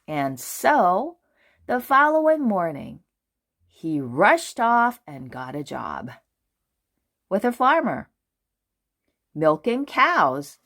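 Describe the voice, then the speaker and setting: A woman reading a children's storybook aloud in an expressive, deliberate way with dramatic phrasing. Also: fairly low pitch. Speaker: children's book reader.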